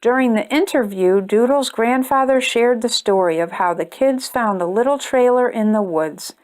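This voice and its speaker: A woman with a fairly high voice reads a children's picture book aloud. She tells it expressively and deliberately, leaning on key phrases as a storytime host does. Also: darkish tone.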